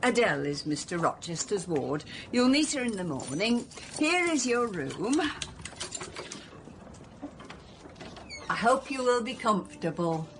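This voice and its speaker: Woman acting an elderly housekeeper, kindly, talkative, animated pitch, radio drama, faint background noise. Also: normal speed, natural voice. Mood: welcoming. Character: neat little elderly English housekeeper, kindly, chatty, motherly.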